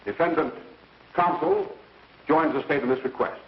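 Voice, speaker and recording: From some distance in an echoey room comes a fairly high-pitched prosecutor acted by a man. He is American, formal and declamatory, in the manner of a 1930s courtroom film with a muffled, noisy soundtrack. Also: normal speed, lightly acted. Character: formal male state prosecutor addressing the court.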